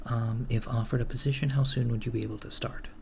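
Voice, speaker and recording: A mild, polite recruiter for a villainous company , played by a man in an ASMR roleplay. He speaks softly and hesitantly at a middle pitch with plenty of vocal fry, staying matter-of-fact about henchman work. Keyboard typing and clear background noise sit behind the voice. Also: little pitch movement, fast talker, lightly acted. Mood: polite, businesslike. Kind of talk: ASMR roleplay, job interview.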